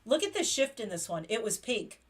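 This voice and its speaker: A woman hosting a casual makeup livestream, chatty and excitable, laughing often. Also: a little high, a little fast. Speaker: beauty livestream host.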